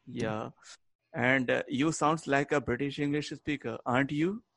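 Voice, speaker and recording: A man of middling pitch whose first language is Hindi, speaking English on a video call. He talks eagerly yet falters, filling gaps with "uh." The sound is dry and close, from a computer mic. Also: unhurried, creaky, a bit bright, dry recording. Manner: eager and hesitant, many filler words. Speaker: caller joining the meeting. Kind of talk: casual video-call chat.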